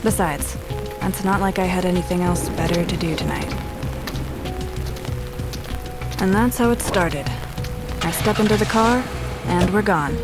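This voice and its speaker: An actress playing a sharp-eyed, no-nonsense female detective in a noir audio drama, deadpan and sardonic. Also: on the low side, normal speed, lightly acted. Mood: wry. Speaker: detective and narrator. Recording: music behind the voice.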